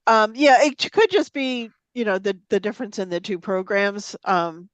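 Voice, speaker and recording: A woman's voice: medium pitch, normal speed, no room sound. Chatty woman presenter with a slight cold. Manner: chatty, laughs easily, lots of ums. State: has a slight cold, sniffling. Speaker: presenter teaching photo editing software. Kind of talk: live software demonstration. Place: meeting room or online forum.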